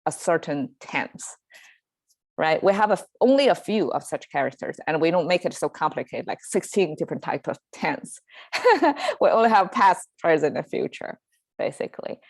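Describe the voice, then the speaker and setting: A woman's voice: deep voice, big pitch swings, normal speed, vocal fry, no room sound. A woman with a Chinese accent hosting a casual language lesson, talking with many fillers and restarts. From Chinese-speaking. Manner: hesitant, lots of fillers and restarts, laughs easily. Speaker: language teacher and show host. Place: home in Southern California.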